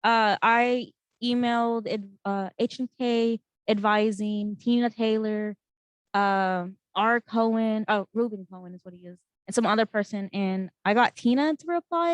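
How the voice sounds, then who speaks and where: A woman's voice: medium pitch, moderate pace, dry recording, rough recording. A woman, a student, adding to a meeting discussion over a video call, rambling and correcting herself.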